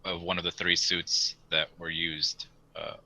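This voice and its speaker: A man's voice: low voice, little pitch movement, a little slow, sharp tone. A young man chatting casually and in a rambling way as a podcast host. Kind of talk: casual chat.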